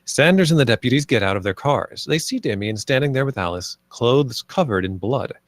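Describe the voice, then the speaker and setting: A man's voice: medium pitch, lively intonation, a little fast, no echo, studio quality. A man narrating scripted stage directions at a screenplay table read.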